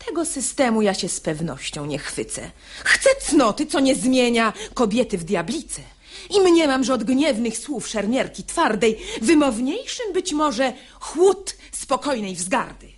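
An actress playing a poised, shrewd, exasperated wife in a verse comedy radio play, with theatrical, ironic diction. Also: female voice, a little high, big pitch swings, a little slow, slight background noise. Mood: indignant, ironic.

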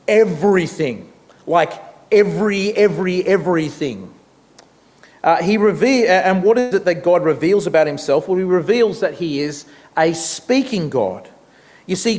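Australian guy preaching, slow and high, lots of hand waving, echoey church hall. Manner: animated, big hand gestures while preaching. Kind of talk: sermon. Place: church hall with long reverb. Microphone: stand mic at a lectern. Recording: reverberant hall.

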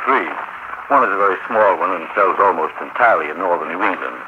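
An earnest male counterspy aide played by an actor in a wartime radio play. He delivers deferential reports at a rapid clip. The recording is dull, with clear old noise. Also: low voice, close to an everyday voice. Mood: matter-of-fact. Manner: respectful, crisp reporting. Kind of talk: 1940s radio drama acting. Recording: muffled, noisy 1940s radio recording.

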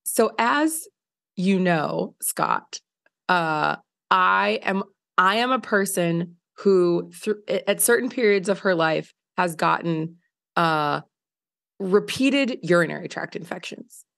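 A woman in her thirties, hoarse from a recent illness, telling a story on a podcast in a casual, filler-heavy way, laughing often. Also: lowish voice, lively intonation, slow, fairly bright tone. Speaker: podcast co-host. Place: home living room by windows. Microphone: large studio mic on video call.

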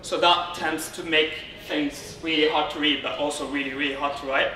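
A man from Syria speaks to a conference in an informal, halting way, restarting his phrases. His voice sits a little high and has a bright, forceful edge. It is picked up from a distance in a roomy space. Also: steady tone. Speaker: conference speaker, software consultant. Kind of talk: informal technical conference presentation. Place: indoor darkened conference room, on stage beside a lectern. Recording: roomy, distant, noisy room sound.

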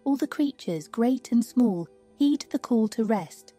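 A woman reading a scripted guided sleep meditation in a slow, soothing, hushed manner. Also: on the high side, animated. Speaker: meditation narrator. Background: music.

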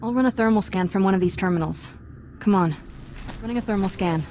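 A woman's voice: mid-range voice, brisk, a little mellow, slight background noise, close to an everyday voice. An actress playing a plucky, wry young female Jedi apprentice in a video game, with a casual delivery. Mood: wry, determined. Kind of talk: video game cutscene acting.

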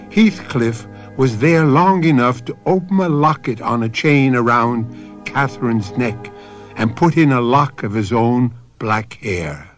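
An actor serving as solemn host and narrator of a Gothic radio drama. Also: male voice, medium pitch, natural voice. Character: solemn storyteller of a Gothic mystery show.

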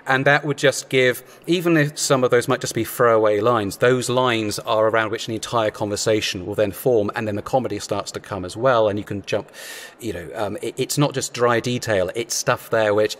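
A man's voice: on the low side, a little fast, a bit bright. A man chatting casually as a writer on a panel, explaining things in a rambling way. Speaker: audio drama writer.

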